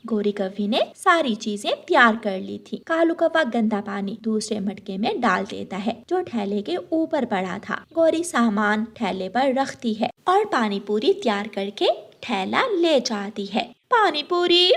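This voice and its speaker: Female narrator for kids' animated stories: high voice, expressive melody, scripted delivery. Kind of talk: children's cartoon story narration.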